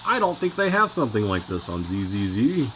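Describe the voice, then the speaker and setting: A man's voice: on the low side, big pitch swings, fairly quick, bright, forceful tone, a little hiss or hum. A man reacting to game clips with laid-back, dry, brief asides. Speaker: reaction streamer.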